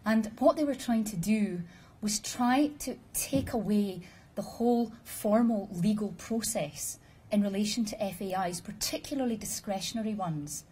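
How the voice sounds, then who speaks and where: A woman speaks to a room of listeners in a measured, explanatory way, with a dry sense of humor. Her voice is husky and mellow, warm rather than bright. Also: mid-range voice, low-quality recording. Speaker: conference speaker. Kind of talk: formal presentation with audience questions.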